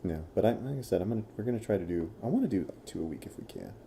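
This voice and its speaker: A man's voice: on the low side, expressive, very fast, creaky, warm tone, a little hiss or hum. A man co-hosting a podcast, talking in an easygoing, rambling way with casual swearing. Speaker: podcast co-host. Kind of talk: casual chat.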